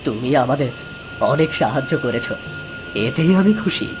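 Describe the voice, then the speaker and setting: A man's voice: medium pitch, expressive, moderate pace, music in the background, close to an everyday voice. A man playing a kind, honest village fisherman father in a Bangla cartoon, speaking earnestly.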